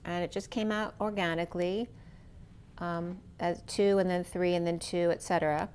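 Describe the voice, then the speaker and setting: A middle-aged woman giving a spiritual tarot reading in a slow, dreamy, free-associating way, her voice a little worn as she is losing it. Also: lowish voice, fairly even intonation, darkish tone, slight background noise. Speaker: tarot reader.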